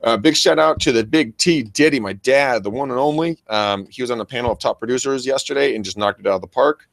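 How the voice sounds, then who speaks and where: Male co-host, American, very loud, enthusiastic and bro-ish, loose unscripted chat with slang and cursing, recorded dry. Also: mid-range voice, fast talker, no room sound. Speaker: co-host of a real estate training show and agent. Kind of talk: casual unscripted show chat with shout-outs. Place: private office.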